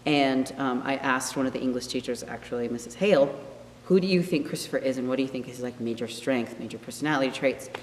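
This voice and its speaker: A man's voice: mid-range voice, quick pace. A man in his late teens, a student actor, talking casually in an interview in a large auditorium. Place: large empty theater auditorium. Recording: echoey hall with clear background hum.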